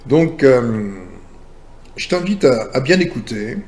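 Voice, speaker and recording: Slow, easygoing man with faint background hiss. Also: medium pitch. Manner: slow, relaxed, addresses listener familiarly. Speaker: channel host. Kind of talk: casual spiritual talk.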